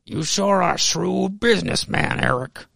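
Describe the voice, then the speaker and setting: A man's voice: sits high, moderate pace, vocal fry, pushed and bright, no echo, clean studio sound, exaggerated voice. A man voicing an agreeable, admiring restaurant business partner with a folksy manner.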